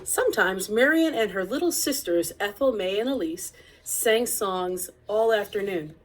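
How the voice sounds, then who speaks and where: A woman reading a picture book aloud as narrator, with deliberate, expressive phrasing. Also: mid-range voice, poor audio. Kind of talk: read-aloud storybook narration.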